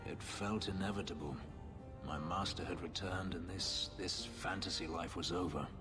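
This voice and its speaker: An actor playing a brooding former slave in a video game, speaking in a measured, halting and somber way. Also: male voice, low voice, fairly even intonation, close to an everyday voice. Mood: haunted regret. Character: brooding male former slave recounting a painful escape. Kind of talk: dramatic video game voice acting. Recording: game dialogue with music underneath.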